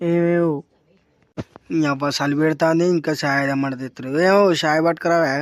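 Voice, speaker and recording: Man playing bossy cartoon dad, Telangana accent, rapid scolding, foul-mouthed, noisy recording. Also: a little high, steady tone, lightly acted. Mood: irritated. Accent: Telangana Telugu. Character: grumpy, foul-mouthed village father and husband. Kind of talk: amateur cartoon comedy dialogue. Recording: clearly noisy track with clicks.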